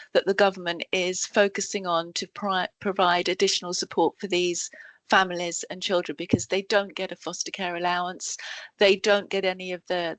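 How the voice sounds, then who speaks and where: A woman presenting to colleagues in a work meeting, halting with frequent ums and self-corrections, heard over a video call. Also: fairly low pitch, a bit bright, dry recording. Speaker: education support officer presenting to colleagues.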